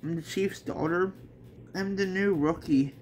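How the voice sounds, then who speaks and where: A man's voice: high voice, measured pace, darkish tone, slight background noise. A man voicing an earnest young female rookie cop in a manga read-along, plain and hesitant. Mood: shy.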